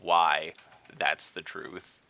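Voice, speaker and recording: Deep, gravelly man with a bright edge. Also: little pitch movement, extremely slow, no room sound, dull audio. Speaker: tabletop game player. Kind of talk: casual in-game discussion. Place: indoors, online voice call.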